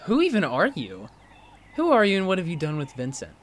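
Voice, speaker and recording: An actor playing an inquisitive, teasing college student in a fiction podcast, with a prodding, excitable manner. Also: male voice, a little high, fairly quick. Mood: incredulous. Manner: prodding, animated, incredulous.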